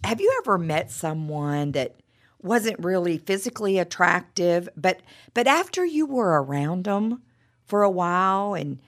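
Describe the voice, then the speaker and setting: A middle-aged woman shares faith stories in a loose, conversational way, often tripping over her words and leaning on "you know". Her voice is lowish and froggy from a cold, with a gravelly edge, and her pitch swings widely. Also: lively intonation, a little slow. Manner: conversational, with frequent stammered repeats and "you know". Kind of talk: devotional talk with personal stories.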